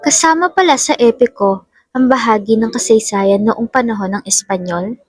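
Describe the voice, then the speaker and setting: Woman as a curious young filipina visitor in a radio play, husky, highish, bit of music behind. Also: normal speed, dry recording. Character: curious young female visitor to a mountain culture. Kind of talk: amateur radio drama acting.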